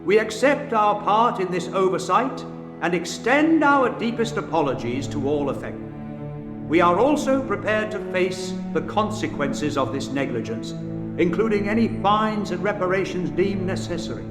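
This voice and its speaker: A man's voice: high voice, expressive, normal speed, long pauses. A man playing a remorseful opera manager in an audio drama, speaking formally and apologetically. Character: remorseful male opera manager accepting blame. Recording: reverberant hall under loud music.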